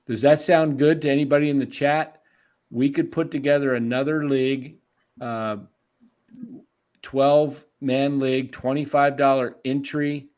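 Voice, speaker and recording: A man's voice: medium pitch, fairly even intonation, unhurried, a little mellow. A man hosting a casual live-stream sports chat, talking to viewers and reading the chat aloud. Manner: chatty, reads chat aloud, laughs easily.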